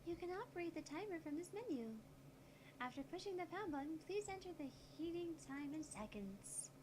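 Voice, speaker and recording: A woman's voice: extremely high pitch, animated, brisk, noisy background. A woman voicing a cute, polite automated phone guide in a visual novel, patient and instructional.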